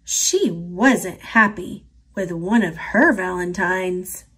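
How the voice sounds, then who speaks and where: A woman reading a children's picture book aloud in a gentle, expressive manner. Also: on the low side, takes it slowly, breathy edge, poor audio. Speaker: storybook reader.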